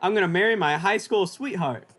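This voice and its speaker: A man's voice: high-pitched, fairly quick, a bit bright, some chatter behind. A man playing a cocky, scheming young war veteran with boastful delivery in old-time radio sketch comedy. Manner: cocksure, boastful.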